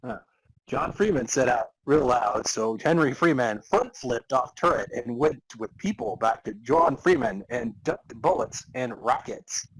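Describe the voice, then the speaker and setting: A man narrating a comic dramatic reading of fan fiction as a podcast host, halting and amused over broken sentences. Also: medium pitch, very animated, gravelly, husky, poor audio.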